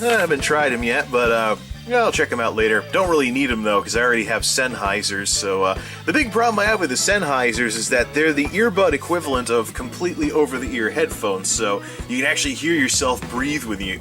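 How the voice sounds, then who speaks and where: A man hosting a casual, unscripted gadget unboxing, rambling and interrupting himself as he thinks aloud. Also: mid-range voice. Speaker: gadget unboxing video host. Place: indoors at a desk, small room.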